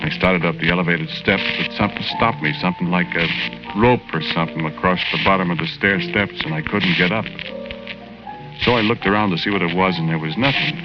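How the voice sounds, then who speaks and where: Actor as a deep-voiced, aggrieved ghost of a city guy. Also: fairly quick, natural voice. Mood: bewildered, aggrieved. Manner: colloquial, conversational storytelling. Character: murdered male ghost, plain-spoken, aggrieved and bewildered city fellow. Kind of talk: 1940s radio drama first-person narration. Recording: muffled old radio broadcast with music behind.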